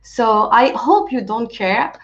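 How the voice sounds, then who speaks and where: A French-accented woman with a fairly high voice guides a webinar as a self-leadership coach. She talks in a gently encouraging way and thanks people for sharing. Her voice comes through a dull, lo-fi video call. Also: expressive, slow. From France. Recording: lo-fi webinar audio.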